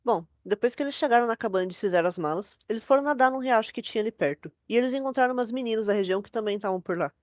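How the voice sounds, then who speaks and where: A woman's voice: fairly high pitch, very fast, hardly any pauses, no room sound, studio quality. A young Brazilian woman telling a scary story as host, in casual scripted narration. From Brazil, from a capital city.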